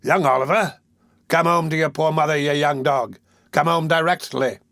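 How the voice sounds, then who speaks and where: A man reading aloud and voicing a rough, bullying grown man. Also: a little high, moderate pace, a bit bright, no room sound, clean studio sound. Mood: menacing.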